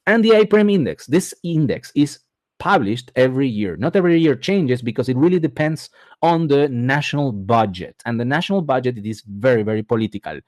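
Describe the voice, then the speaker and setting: A man's voice: medium pitch, expressive, brisk, a little mellow, no echo, clean studio sound. Argentine-born man giving a webinar talk. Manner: repeats words for emphasis, frequent "okay?" check-ins. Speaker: immigration advisor presenting a webinar. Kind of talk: explanatory webinar presentation.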